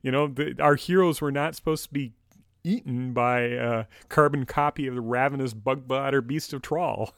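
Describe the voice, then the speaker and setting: A man's voice: mid-range voice, normal speed, a bit bright, dry recording, clean studio sound. A man in his fifties co-hosting a podcast, giving fan commentary in casual conversation. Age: 50s. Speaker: podcast co-host.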